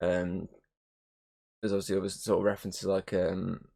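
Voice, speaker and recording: A croaky-voiced man co-hosting a casual film review podcast, rambling with lots of "um" and "obviously". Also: sits low, monotone, normal speed, dark tone. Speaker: podcast co-host.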